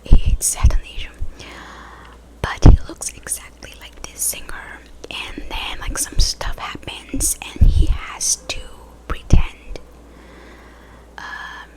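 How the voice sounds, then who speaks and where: Whispering woman, ASMR ramble. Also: poor audio. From Brazil. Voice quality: soft breathy whisper. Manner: rambling, casual, backtracking. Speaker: ASMR video creator.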